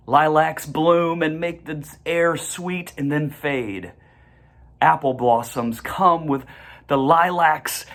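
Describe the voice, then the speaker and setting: A reader narrating a children's storybook aloud in measured, deliberate phrases with the occasional stumble. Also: medium pitch, a bit bright.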